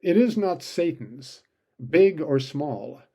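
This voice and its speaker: A man's voice: on the high side, lively intonation, takes it slowly, dark tone. A man delivering an opinion commentary monologue, full of sardonic, sarcastic asides.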